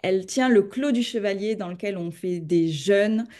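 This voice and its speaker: A woman's voice: mid-range voice, normal speed, darkish tone. A woman hosting a wellness podcast interview, chatty and enthusiastic in her questions. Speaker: podcast host.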